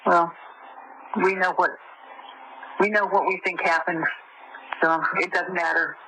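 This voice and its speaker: A woman's voice: fairly low pitch, little pitch movement, unhurried. Female relative on a noisy phone call. Short, sympathetic, casual responses. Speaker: relative of a jail inmate on a call. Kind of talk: casual private phone conversation. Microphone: telephone. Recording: noisy jail phone line recording.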